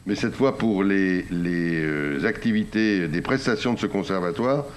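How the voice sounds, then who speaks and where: Man, French accent, lowish voice, meeting chair, unscripted semi-formal remarks, halting phrasing, faint background noise. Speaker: council meeting chair, mayor.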